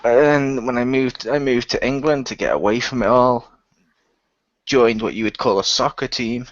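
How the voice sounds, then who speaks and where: A man's voice: medium pitch, moderate pace, dry recording, dull audio, close to an everyday voice. A man playing a brash, sardonic ex-athlete hero in an improvised audio role-play. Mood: confessional.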